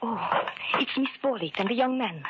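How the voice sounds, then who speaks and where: An actress playing an anxious, devoted shopkeeper's wife in an old-time radio drama, with gentle, formal phrasing. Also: female voice, on the high side, expressive, normal speed, close to an everyday voice. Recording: muffled, noisy old radio recording.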